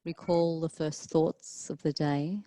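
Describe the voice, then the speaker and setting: A woman teaching yoga leads a guided relaxation, calmly and gently, leaving long pauses between short phrases. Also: low voice, low-quality recording. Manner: calm, soothing, gentle instruction with long pauses. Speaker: yoga teacher leading a class.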